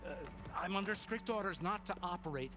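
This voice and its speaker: A man's voice: sits high, lively intonation, fairly quick. An actor playing a nervous, fussy lift operator in a video game, hesitant and flustered, bound by his orders. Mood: nervous, apologetic. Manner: hesitant, flustered, gives in reluctantly. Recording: game music behind the voice.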